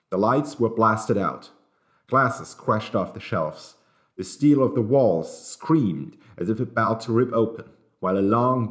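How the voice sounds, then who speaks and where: Low-voiced man narrating a novel. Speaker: audiobook reader. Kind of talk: scripted narration of a dramatic novel.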